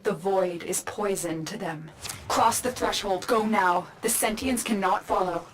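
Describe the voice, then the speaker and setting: An actress voicing a composed, protective mission guide who gives firm instructions. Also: female voice, medium pitch, measured pace, lightly acted. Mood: urgent. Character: calm, commanding female mission guide, protective.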